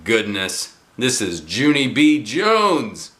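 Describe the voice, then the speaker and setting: A jolly, joking old grandfather from a storybook, played by a man. He speaks in a hearty, slow voice of middle pitch. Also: big pitch swings, a bit bright.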